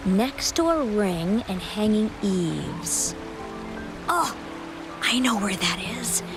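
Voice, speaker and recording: A woman's voice: medium pitch, animated, very slow, creaky, full character voice. A woman playing a curious, earnest female adventurer and eager admirer in a fantasy video game, with music behind her. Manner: earnest, inquisitive.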